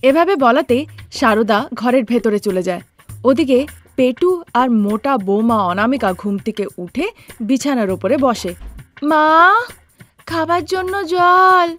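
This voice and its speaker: A woman's voice: fairly high pitch, animated, measured pace, soft background music. A woman narrator telling a cartoon story in a scripted storytelling style.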